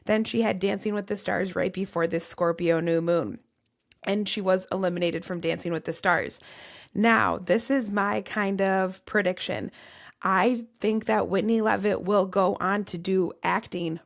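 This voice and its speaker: A Midwestern woman hosts her own podcast, her voice worn raspy and cracking. She has a gravelly edge at a middling pitch and moves through her points earnestly, one item after another. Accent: Midwestern American. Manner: earnest, list-like delivery. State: strained, cracking voice. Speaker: podcast host and tarot reader. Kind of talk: solo podcast monologue on astrology.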